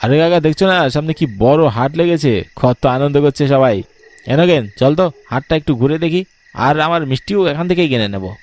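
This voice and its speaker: A man's voice: a little high, animated, moderate pace, a little mellow, voice pushed up, lightly acted. A man voicing an eager, sociable young village man in a cartoon, chatty and insistent. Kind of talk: cartoon dialogue.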